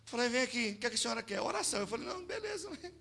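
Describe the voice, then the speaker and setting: A man with a Brazilian Portuguese accent, preaching and telling stories to a church congregation, swinging between shouted exhortation and hushed storytelling. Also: very high-pitched, sing-song, rapid-fire, gravelly, breathy edge. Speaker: pastor. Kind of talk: sermon with storytelling. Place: church service.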